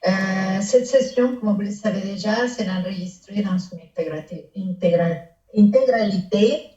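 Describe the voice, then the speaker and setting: A woman's voice: medium pitch, normal speed, warm tone, reverberant, distant mic, highs cut off, faint music behind. Woman with a Mexican accent, hesitant. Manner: hesitant, many fillers, light laughs. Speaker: conference facilitator. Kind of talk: event introduction.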